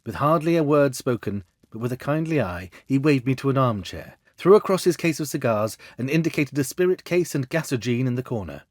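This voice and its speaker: Male audiobook narrator: measured, literary, mid-pitched with animated melody, very dry sound. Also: dry recording. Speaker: storyteller.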